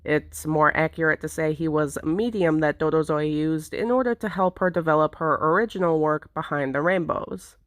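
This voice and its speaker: A woman's voice: sits low, moderate pace, few pauses, no room sound, clean studio sound. A woman video creator giving a semi-scripted, explanatory vlog narration.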